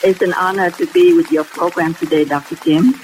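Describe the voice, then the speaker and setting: A middle-aged woman from Vietnam with a Vietnamese accent, a guest sharing her faith testimony in halting speech that restarts phrases. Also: fairly low pitch, steady tone, a little mellow, a faint crowd, slight background noise. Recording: tinny phone line with faint music.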